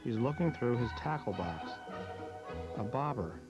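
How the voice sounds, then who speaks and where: A man of middling pitch narrates simply for a children's television film. The sound is a dull old TV soundtrack, with music clearly playing behind his voice. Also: lively intonation, unhurried.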